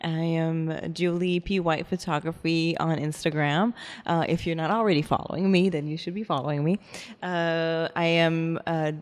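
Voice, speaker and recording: A French Canadian woman from Montreal, a photographer guesting on a podcast, speaking softly and hesitantly with many fillers. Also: deep voice, steady tone, moderate pace. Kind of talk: casual interview chat.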